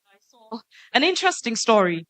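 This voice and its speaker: Bright-voiced woman moderating a panel. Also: on the high side, moderate pace, no echo, poor audio. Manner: lively, posing questions. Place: conference stage.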